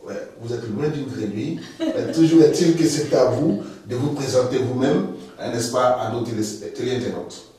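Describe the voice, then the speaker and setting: A man's voice: mid-range voice, normal speed, dark tone, a little hiss or hum. A man hosting an interview, chatty and eager, often chiming in with short affirmations. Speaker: interviewer, host. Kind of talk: interview questions. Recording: roomy echo, distant mic.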